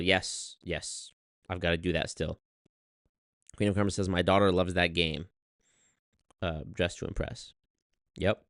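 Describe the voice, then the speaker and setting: A man telling a story on his livestream in an animated, exasperated way, swearing often and reading chat. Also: deep voice, smooth, no room sound, clean studio sound. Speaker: streamer. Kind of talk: casual livestream rant.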